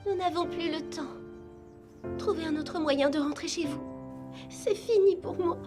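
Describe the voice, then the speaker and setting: Sad delicate cartoon maiden, really high slow voice, music under it. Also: female voice. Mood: despairing. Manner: plaintive, delicate. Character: despairing, gentle young girl from a painting. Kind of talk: animated cartoon voice acting. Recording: music behind the voice.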